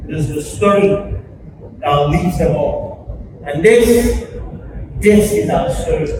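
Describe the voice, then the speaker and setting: A man giving a theatrical spoken-word reading in a deliberate, emphatic, oratorical manner. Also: fairly high pitch, expressive, noisy background. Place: live stage at an awards event. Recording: echoey hall, distant mic, music behind. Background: music.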